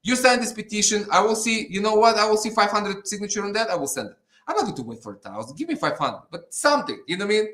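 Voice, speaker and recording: This is an animated, high-voiced man with an Eastern European accent in a roomy, echoing space. He speaks in a fired-up conversational rant. He is blunt, swears freely and repeats his points for emphasis. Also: fast talker, few pauses. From Ukraine, former Soviet Union. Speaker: guest, petition campaigner.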